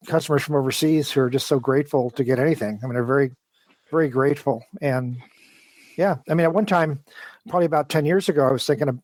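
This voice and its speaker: Man giving rambling, halting answers, dry sound. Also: mid-range voice, a little mellow, no room sound. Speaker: interview guest, model train design engineer. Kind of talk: casual, detailed interview talk.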